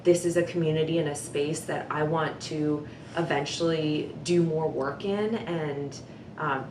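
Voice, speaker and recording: A young woman with a notably low voice shares personal stories in an earnest, reflective tone. Her words are loosened by frequent "like" and "um." The sound is roomy and echoey, with a low rumble running behind her. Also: vocal fry. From United States. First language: Vietnamese. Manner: earnest and reflective, many "like" and "um" fillers. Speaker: communications director. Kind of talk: personal storytelling to camera.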